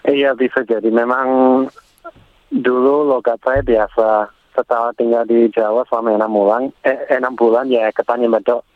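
A man, a foreign guest speaking in a halting, careful way as a language learner, interviewed on radio. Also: medium pitch, fairly even intonation, no echo. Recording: tinny phone line.

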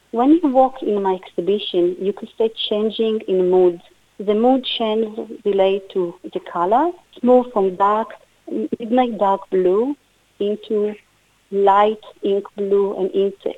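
A middle-aged woman from Israel with an Israeli accent, a native Hebrew speaker answering radio interview questions in halting English with restarts. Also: mid-range voice, no room sound. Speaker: guest artist. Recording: tinny phone line.

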